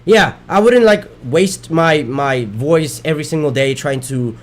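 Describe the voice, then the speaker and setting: A man's voice: fairly high pitch, a little slow. Rambling streamer man, voice worn. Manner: rambling, self-correcting, swears casually. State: losing his voice. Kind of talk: casual chat while gaming.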